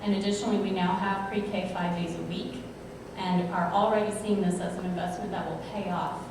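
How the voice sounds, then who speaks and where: A woman school principal giving a prepared, measured presentation at a school board meeting. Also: fairly low pitch, fairly even intonation. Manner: measured, explanatory. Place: school auditorium. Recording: echoey hall from far off, noisy.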